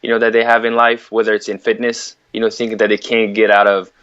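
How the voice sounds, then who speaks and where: A man from Africa talking as a podcast guest about fitness coaching, relaxed and saying "you know" a lot. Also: lowish voice, quick pace, clean tone, muffled recording. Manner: relaxed, laughs lightly, says "you know" often. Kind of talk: casual interview chat.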